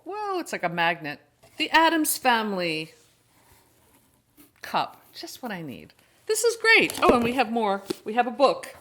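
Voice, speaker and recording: A woman with a mid-pitched voice hosts a casual vlog. She talks in a chatty, expressive way, often exclaiming and thinking out loud as if to friends watching. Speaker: host of a horror book channel.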